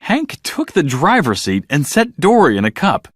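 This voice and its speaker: A man reading a scripted children's story as narrator, in an expressive, dramatic storytelling manner. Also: fairly high pitch, moderate pace, no room sound, clean studio sound.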